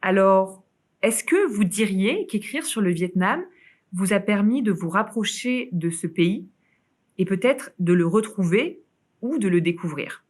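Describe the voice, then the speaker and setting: A woman's voice: medium pitch, lively intonation, unhurried. Woman moderator reading questions. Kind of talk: moderating a panel.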